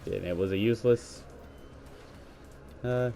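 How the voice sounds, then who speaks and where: Male gamer, low mellow scratchy voice, rambling play-by-play into a headset, faint hiss behind. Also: a little slow, gravelly. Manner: rambling, self-correcting play-by-play. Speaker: gaming video creator. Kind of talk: let's play commentary. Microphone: gaming headset.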